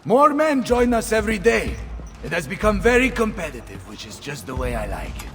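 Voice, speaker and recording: Loud-hearted italian mercenary boss, high voice swinging all over, bombastic game acting. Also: male voice, big pitch swings, a little fast. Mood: boastful, hearty. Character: boisterous, blustery Italian mercenary captain, middle-aged man. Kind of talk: video game dramatic acting. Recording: long reverb under loud game music.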